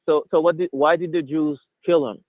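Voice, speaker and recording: A man's voice: on the high side, steady tone, normal speed, dry recording. A man from Haiti calling in, talking hesitantly with many stammering restarts. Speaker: caller. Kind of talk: casual debate. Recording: tinny phone line.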